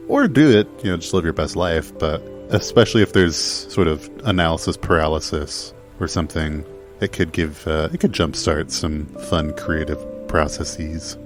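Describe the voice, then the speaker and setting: Deep-voiced, articulate man. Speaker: podcast panelist. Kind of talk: casual discussion.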